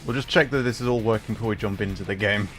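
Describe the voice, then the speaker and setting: A man's voice: fairly low pitch, very fast, a bit bright. A man hosting a gaming livestream, chatting casually in a wry, self-deprecating way. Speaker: livestream host, gamer. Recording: background music behind voice. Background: music.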